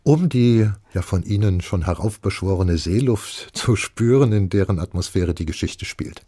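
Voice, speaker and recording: Guy hosting an interview, lowish husky voice, presenter talk. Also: normal speed, mellow.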